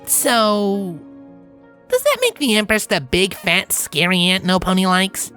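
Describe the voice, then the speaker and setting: Casting: woman playing sardonic young cartoon mare, extremely varied pitch, music behind. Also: wildly swooping pitch, a little slow, a bit bright. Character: brash, sardonic young female pegasus pony.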